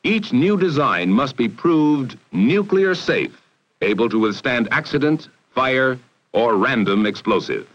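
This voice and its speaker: An American man narrating a 1960 educational film in a formal, measured, authoritative way, on a vintage film soundtrack. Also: mid-range voice, expressive. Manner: formal, measured, authoritative delivery with emphatic phrasing.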